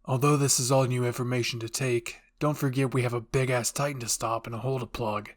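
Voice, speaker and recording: A man's voice: medium pitch, steady tone, brisk, lightly acted. A man playing a blunt, matter-of-fact military man in an anime fan roleplay.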